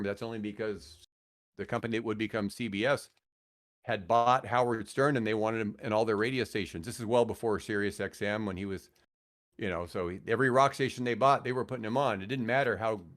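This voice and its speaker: An older man with an American accent, telling rambling, animated anecdotes casually as a radio veteran on a podcast. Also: on the low side. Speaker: radio host and guest.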